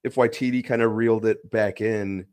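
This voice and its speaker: A man on a fan discussion panel, giving mild opinions in a casual, measured and slightly hesitant way. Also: on the low side, fairly even intonation, a little mellow. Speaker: panelist on a pop-culture review show.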